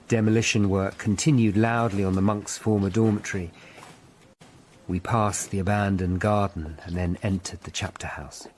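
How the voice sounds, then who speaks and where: A low-pitched man acting as a serious Tudor investigator. He tells his tale in the measured language of period radio drama, with faint noise behind him. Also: darkish tone, lightly acted. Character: grave Tudor lawyer-investigator recounting his own tale. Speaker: narrator and lead actor in radio drama.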